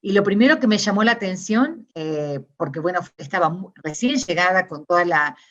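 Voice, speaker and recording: Argentine lady talking it over slowly, kinda low voice, muffled sound. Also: expressive, a bit bright. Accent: Argentine Spanish. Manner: thoughtful, many fillers and self-restarts. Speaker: interviewee, discourse analysis scholar. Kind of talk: reflective conversational interview.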